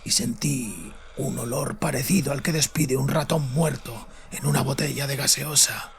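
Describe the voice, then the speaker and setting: A man playing a haunted, guilt-ridden father confessing in a bitter, cold way, with a raspy voice, in a horror audio drama. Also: medium pitch, fairly even intonation, normal speed, a crowd in the background, lightly acted. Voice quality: raspy, husky. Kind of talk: dramatic audio fiction monologue.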